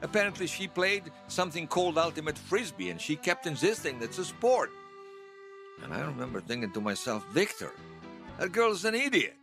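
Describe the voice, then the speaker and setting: A man's voice: a little high, big pitch swings, moderate pace, pushed and bright, faint music behind, full character voice. An actor playing a nostalgic, wryly amused man recalling his past conversationally in an interview-style audio drama. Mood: fond, amused. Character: nostalgic man recalling lost love in a future world.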